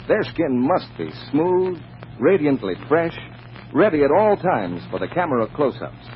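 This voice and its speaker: A man's voice: on the high side, very animated, a little slow. Brief: male announcer, vintage radio ad read, swooping polished delivery, dull noisy recording. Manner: polished, persuasive. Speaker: radio announcer reading sponsor copy. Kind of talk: 1940s radio advertising announcement.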